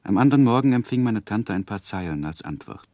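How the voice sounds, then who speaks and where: An actor playing an earnest, lovesick young gentleman who narrates his life in a measured, introspective 1960s German radio drama. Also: lowish voice, expressive, dry recording, studio quality, natural voice. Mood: wistful. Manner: measured, introspective literary delivery.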